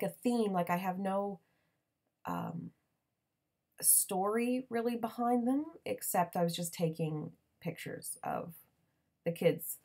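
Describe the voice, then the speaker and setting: A woman in her thirties hosting a crafting channel, chatting casually in a voiceover with a raspy morning voice, full of ums and little laughs. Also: on the low side, a little mellow.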